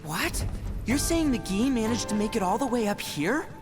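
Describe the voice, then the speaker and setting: A man's voice: high-pitched, sing-song, moderate pace. A young man voicing a proud, headstrong young talking beast in a video game, earnest and brash. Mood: alarmed. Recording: loud music under the voice. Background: loud game music.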